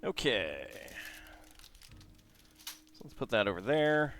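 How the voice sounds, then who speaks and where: Streamer guy, mid-range voice, easygoing build-stream chatter over soft background music. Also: medium pitch, very animated, slow pace. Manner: chatty, reads facts aloud, then talks to chat. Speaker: streamer building a Lego set. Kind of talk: casual livestream chat while building, reading aloud.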